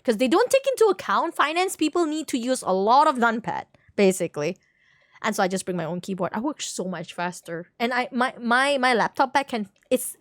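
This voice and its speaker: A woman with a fairly high voice chats casually on a livestream. She rambles, doubles back on her words and hunts for the right term, all in a dry recording with almost no room sound. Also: no echo. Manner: rambling, repeats words, searches for terms. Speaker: game livestreamer. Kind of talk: casual livestream chat with viewers.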